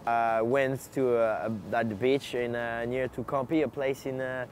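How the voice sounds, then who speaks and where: A man's voice: medium pitch, fairly even intonation. Young french guy talking outside, lots of uhs, bit of city noise. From France. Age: young adult. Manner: halting, many fillers. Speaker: exchange student. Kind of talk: documentary interview. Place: outdoors, on stone steps.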